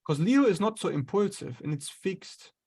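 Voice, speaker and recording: A man, an astrologer guest, explaining ideas in a relaxed conversational way, sometimes acting out inner voices. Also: high-pitched, normal speed, vocal fry, breathy edge. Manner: explains with acted-out inner voices and light laughs.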